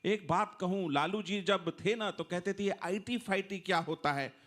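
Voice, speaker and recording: An Indian man speaks as a party spokesperson on a debate show. His voice is high and sharp, and he drives his points home with emphatic, repetitive rhetoric. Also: normal speed. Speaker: political spokesperson on debate panel. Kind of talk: partisan TV debate speech.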